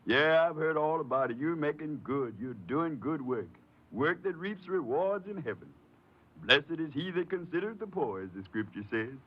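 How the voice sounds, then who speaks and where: Old folksy father, American, 1950s radio drama: raspy, wheedling, sanctimonious, lively intonation, dull old recording. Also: fairly high pitch, moderate pace. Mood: proud, pious. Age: older. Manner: folksy, scripture-quoting, cajoling. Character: wheedling, pious old father leaning on his son.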